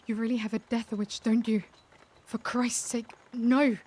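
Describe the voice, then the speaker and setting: A woman acting as an anguished, caring vampire lady in an ASMR roleplay, speaking formally and tenderly in hesitant, breathy half-whispers. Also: fairly high pitch, little pitch movement, a little slow, darkish tone. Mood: agitated, scolding. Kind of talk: ASMR roleplay, intimate dramatic monologue.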